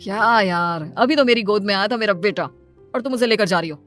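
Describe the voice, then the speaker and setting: A woman's voice: mid-range voice, brisk, fairly bright tone, soft background music, natural voice. A woman voicing an earnest, plain-spoken young father devoted to his children in a cartoon story. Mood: pleading.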